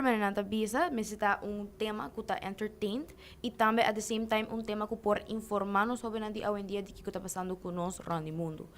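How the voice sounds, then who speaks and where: A teenage girl from Aruba talking eagerly about her new youth radio show, switching between languages as she goes. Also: medium pitch. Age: teens. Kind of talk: radio interview talk.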